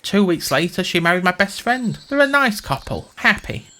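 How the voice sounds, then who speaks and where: A man's voice: high voice, big pitch swings, moderate pace, sharp tone, pitched above the performer's own voice, exaggerated voice. A man voicing a dull, meek male accountant in a comic fantasy story, fussy and easily affronted. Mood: resigned, rueful.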